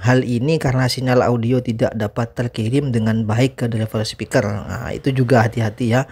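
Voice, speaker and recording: A man's voice: mid-range voice, a little mellow. A man presents an informal tutorial. He alternates between reading points aloud and explaining them in his own words. His delivery is instructive, often rounding off a point with a short repeated tag phrase. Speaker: presenter of an audio tutorial channel.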